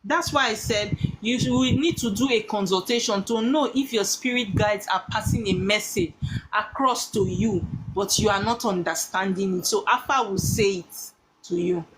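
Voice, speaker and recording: A woman taking callers as a spiritual consultant, talking in an animated way and calling people darling. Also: mid-range voice, normal speed. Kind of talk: live call-in chat. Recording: noisy line with clicks.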